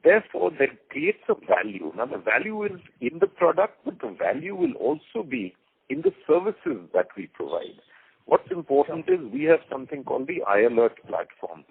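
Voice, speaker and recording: An Indian man explains business to analysts as a senior executive. His delivery is lively and emphatic, with a slightly husky, creaky voice. The sound is thin, like a conference phone line. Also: on the high side, normal speed. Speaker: company executive on an earnings call.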